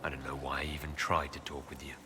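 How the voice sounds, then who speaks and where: A man's voice: extremely low pitch, monotone, fast, background noise. A man playing an earnest, wounded elf hero in a fantasy video game, direct and firm. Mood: hurt. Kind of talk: dramatic video game acting.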